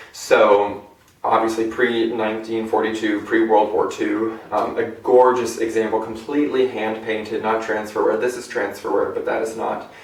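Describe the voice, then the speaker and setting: A young American man presenting a collection in a casual, chatty, enthusiastic and rambling vlog style. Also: medium pitch. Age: young adult. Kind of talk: casual show-and-tell vlog. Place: indoor room at home. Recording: echoey room, distant microphone.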